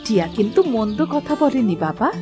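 Village mother for cartoon, female actor: affectionate, expressive, fairly high, lively. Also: moderate pace, over music, natural voice. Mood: encouraging. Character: caring mother of a village boy.

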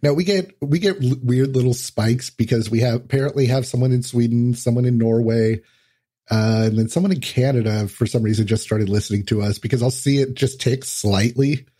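A man chatting casually as a guest on a podcast, with a husky voice. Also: mid-range voice, brisk, warm tone.

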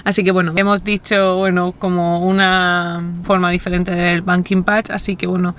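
A woman's voice: fairly low pitch, flat delivery, normal speed, fairly bright tone, slight background noise. A woman in her thirties with an accent from Spain, chatting casually and explaining things to camera as a vlogger.

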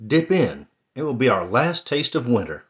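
A fussy, grumbling bunny from a storybook, raised in pitch and pushing hard on his complaints, put on by a male reader. His voice swings up and down a lot. The recording sounds dull and lo-fi. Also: very animated, moderate pace, close to an everyday voice. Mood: grumpy. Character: grumpy complaining male rabbit, small.

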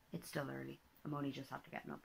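A hoarse young woman, chatting casually to camera in her bedroom with lots of ums and self-deprecating asides. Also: rumbling low, a bit bright, a little hiss or hum. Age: 20s. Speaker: vlogger. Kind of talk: casual vlog chat. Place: small bedroom indoors. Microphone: laptop or phone camera at desk.

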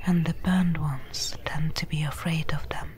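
A woman playing a friendly, seasoned desert trader in a soft, breathy, gentle whispered ASMR roleplay. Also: sits low, fairly even intonation. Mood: calm, friendly. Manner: slow, gentle, unhurried.

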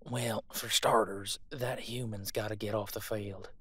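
A man playing a gruff, scornful veteran monster hunter in an audio roleplay, folksy and bossy. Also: fairly low pitch, steady tone, measured pace, bright, forceful tone. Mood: scheming, scornful.

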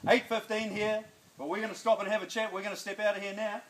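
A middle-aged man with a New Zealand accent calling bids as an auctioneer in a backyard, coaxing buyers and chanting the price in brisk repeats. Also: high voice, little pitch movement, reverberant, over a noisy crowd. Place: sunny backyard outdoors. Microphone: phone camera at a distance.

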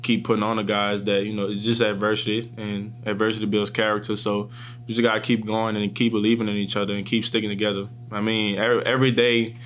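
A young man in his twenties answers post-game questions at a press table. His voice sits fairly low and stays flat, and he keeps it calm and measured with frequent restarts. A faint hum runs under the table microphones. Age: 20s. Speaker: college football player. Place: press room in front of a sponsor backdrop. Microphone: table microphones in front of him.

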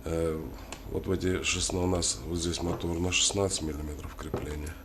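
A man with a cold giving a casual hands-on tutorial, halting and thinking aloud as he works. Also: low voice, flat delivery. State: has a cold. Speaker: DIY tutorial host. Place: indoors at a wooden worktable. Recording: clearly noisy home recording.

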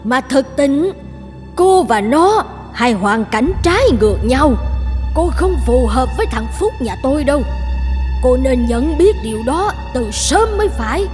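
A woman's voice: sits high, big pitch swings, measured pace. A woman playing a scheming, snobbish middle-aged mother in a cartoon drama, speaking in an emphatic, admonishing way. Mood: cold, stern. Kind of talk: dubbed cartoon drama acting. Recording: long reverb, music underneath.

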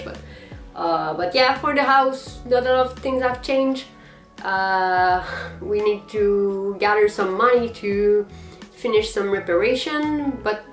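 A young French Canadian woman, French her first language, talks slowly and loosely to camera. Her voice rings a little in an echoey room, with soft music faintly underneath. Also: medium pitch. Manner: unhurried and improvised, frequent pauses and fillers with short laughs. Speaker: cosplayer vlog host. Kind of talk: unscripted casual vlog. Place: small indoor guest room with manga shelves.

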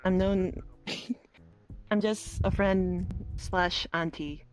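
A woman's voice: lowish voice, steady tone, extremely slow. A woman improvising in a roleplay, insistent, repeating herself in a flustered way. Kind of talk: improvised virtual reality roleplay. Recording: music behind the voice. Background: clear background music.

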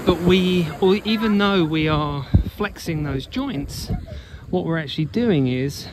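A man with a fairly high voice talks as a coach in an unscripted walking vlog. He is instructive and emphatic, often repeating a phrase as he works through an explanation. Clear ambient noise from an open beach sits behind his voice. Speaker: running coach and blogger. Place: outdoors on an open sandy beach. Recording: noisy outdoor beach ambience.

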